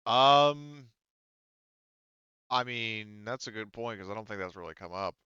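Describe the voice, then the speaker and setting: A man game-mastering a tabletop roleplaying session in an easygoing, accommodating way. His voice is smooth and clear. The sound is dry and a little muffled. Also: lowish voice, a little slow, bright, forceful tone, no room sound. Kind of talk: casual tabletop game talk.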